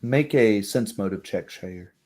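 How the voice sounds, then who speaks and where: A man narrating a tabletop roleplaying game as game master, descriptive and halting. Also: fairly low pitch, lively intonation, a little mellow.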